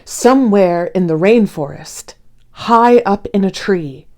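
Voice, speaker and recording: A woman reading a children's picture book aloud expressively, with deliberate storyteller phrasing. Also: medium pitch, expressive, darkish tone. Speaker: read-aloud host.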